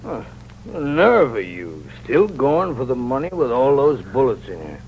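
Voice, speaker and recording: An actor playing a folksy older sheriff who needles wryly, in an old-time radio crime drama. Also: male voice, medium pitch, big pitch swings, measured pace, a little hiss or hum. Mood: grudgingly impressed. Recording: dull vintage radio recording.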